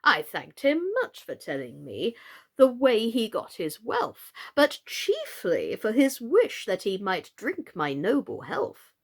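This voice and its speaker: A woman acts out an eccentric old knight from a children's storybook. The delivery is halting and theatrical, gentle yet earnest. Pitch swoops widely and the pace is slow. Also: wildly swooping pitch, full character voice. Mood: wistful, singsong.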